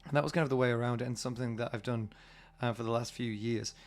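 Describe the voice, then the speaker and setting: A British man hosting a casual solo podcast, husky from a cold, chatty and rambling with many fillers. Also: mid-range voice, steady tone, fast. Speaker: podcast host.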